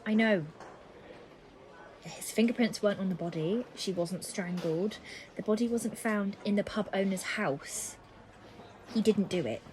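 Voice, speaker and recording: A woman's voice: mid-range voice, a little slow, long pauses, darkish tone, a little hiss or hum, lightly acted, low-quality recording. A woman playing a formal, probing, authoritative detective inspector in an audio drama. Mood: measured. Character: stern, probing female police detective inspector leading interrogation.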